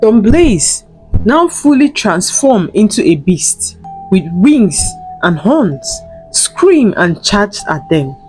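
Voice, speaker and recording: A woman storyteller narrating a scripted folktale in a dramatic, deliberate manner. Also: medium pitch, sing-song, a little mellow, soft background music, low-quality recording.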